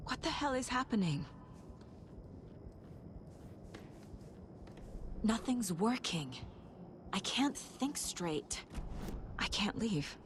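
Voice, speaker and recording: A woman's voice: fairly high pitch, big pitch swings, slow pace. An actress playing a distraught investigator trapped in her own mind, anguished and searching as she talks to herself in a video game. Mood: confused, anxious. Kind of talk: dramatic game voice acting. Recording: loud noisy ambience behind the voice.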